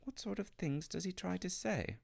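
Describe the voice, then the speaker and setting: Man reading as a pert young storybook girl, lively. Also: sits high, normal speed, gravelly, pitched above the performer's own voice, heavily acted. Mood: humble, curious. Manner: pert, direct. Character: polite, curious young girl, readily indignant.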